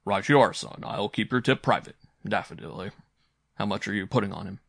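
A man's voice: on the low side, fairly quick, gravelly, no room sound, clean studio sound. A man playing a jovial, teasing uncle in a story, hearty, amused and a little patronising. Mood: hearty, indulgent.

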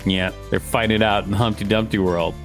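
A man's voice: fairly low pitch, steady tone, brisk. Male podcast co-host with music behind. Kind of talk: reading an encyclopedia article aloud with casual commentary.

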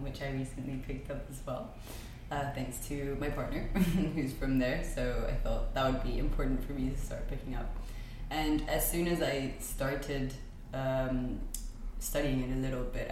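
Young woman from Spain, very low voice, chatty podcast guest full of ums, booming echoey room. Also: darkish tone. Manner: chatty, frequent ums, laughs often. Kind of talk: casual conversational chat. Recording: very echoey room with faint noise.

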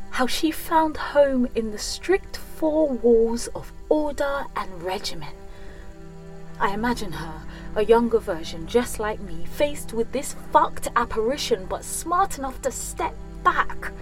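A woman's voice: a little high, slow pace, rough recording. An actress playing an anguished young woman in a first-person audio drama monologue, intense and raw, lingering on her words. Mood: tender, resolute. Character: anguished young woman confronting her wounded mirror self. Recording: music behind the voice.

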